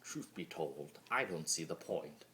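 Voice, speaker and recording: A man's voice: very deep voice, lively intonation, measured pace, pushed and bright, slight background noise. A man voicing a bitter, mocking male spirit of chaos in a theatrical, brooding, sardonic dramatic reading of fan fiction.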